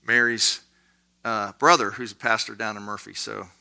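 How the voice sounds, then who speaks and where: A man teaching a Bible study class in a conversational way, with lots of fillers and quick asides. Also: lowish voice, fairly even intonation, vocal fry, pushed and bright.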